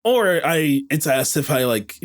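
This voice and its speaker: A man chatting on a discussion panel, halting as he searches for words. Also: fairly high pitch, lively intonation. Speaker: podcast panelist.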